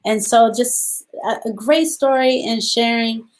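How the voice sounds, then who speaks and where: A woman hosting a children's storytime, reading aloud deliberately in short phrases and putting questions to her young listeners. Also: on the high side, fairly even intonation.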